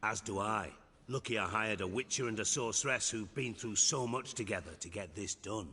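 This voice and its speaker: An actor playing a shrewd, sardonic crime boss in a video game, blunt and mockingly polite. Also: male voice, fairly low pitch, moderate pace, sharp tone, husky, in a large hall. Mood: smug, scheming.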